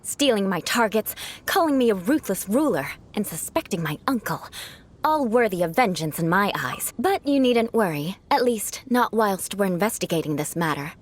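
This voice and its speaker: A woman's voice: mid-range voice, sing-song, moderate pace. A woman voicing a proud young noblewoman knight in a game, speaking in a formal, cool, condescending way. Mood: lecturing. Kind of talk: video game cutscene dialogue.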